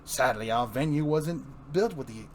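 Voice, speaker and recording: A man's voice: medium pitch, normal speed, slight background noise, lightly acted. Mock-courteous taunting villain acted by a man. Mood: gloating. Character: mocking villain, falsely polite theatrical showman. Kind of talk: amateur dramatic comic book reading.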